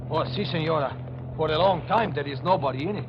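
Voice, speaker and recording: Casting: male actor for timid boat pilot, Spanish accent, highish voice, deferential broken English, heavy noise over vintage radio audio. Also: fast, lightly acted. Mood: obliging. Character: meek, frightened motorboat pilot, servile and loyal. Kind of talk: 1940s radio thriller acting. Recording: muffled, heavily noisy 1940s radio recording.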